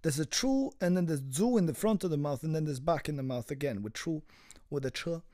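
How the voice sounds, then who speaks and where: Animated bilingual teacher woman. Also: low-pitched, fairly quick, no room sound, clean studio sound. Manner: explains word by word, switching between English and Chinese. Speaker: Mandarin teacher. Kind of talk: bilingual language lesson chat.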